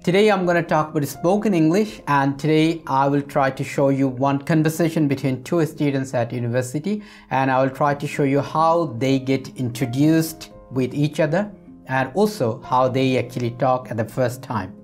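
Middle-aged male English teacher, mid-range pitch, explains and repeats phrases for learners. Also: normal speed, a little mellow, faint music behind. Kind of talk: explanatory spoken English lesson. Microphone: lapel microphone.

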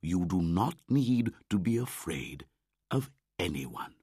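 A man's voice: low voice, very animated, husky, no room sound, clean studio sound, heavily acted. A man voicing an old brown bear who patiently teaches a boy, in a storybook reading. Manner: slow, deliberate, warmly instructive.

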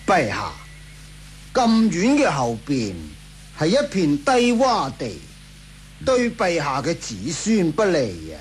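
Slow, swooping scheming Taoist priest. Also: male voice, on the high side, huge pitch swings, slight background noise, heavily acted. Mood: portentous, flattering. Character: scheming male Taoist priest, flattering and portentous. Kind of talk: Cantonese radio drama acting.

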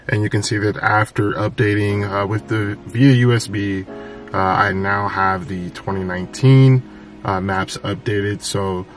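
A man hosting a car channel, talking casually step by step through a tutorial with lots of fillers, recorded inside a parked car. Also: on the low side. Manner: casual, repeats steps, many fillers.

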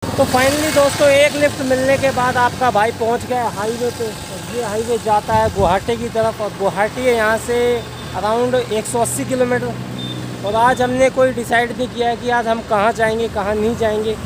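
A man's voice: very high-pitched, steady tone, normal speed. A man in his twenties from Haryana, India, talking casually to camera as a hitchhiking travel vlogger. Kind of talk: casual vlog narration to camera. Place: outdoors on bridge and highway, later in a car. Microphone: phone or camera at arm's length. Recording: noisy outdoor roadside and vehicle noise.

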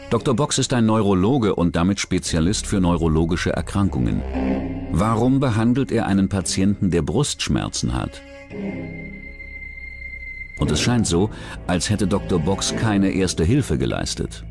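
A man's voice: sits low, big pitch swings, measured pace. A man narrating a true-crime documentary from a script. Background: background music.

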